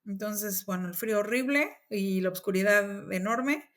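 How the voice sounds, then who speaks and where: Mexican woman chatting as a guest, mid-pitched, storytelling, acts out what people said. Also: moderate pace. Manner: lively, acts out quoted dialogue in her telling. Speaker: interview guest. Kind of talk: casual conversational storytelling.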